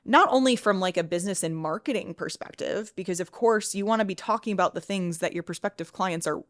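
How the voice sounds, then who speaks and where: A woman's voice: medium pitch, a little fast. A woman in her thirties hosting a solo podcast, talking in a chatty way with lots of restarts, sniffly from a cold. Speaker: podcast host and fitness coach.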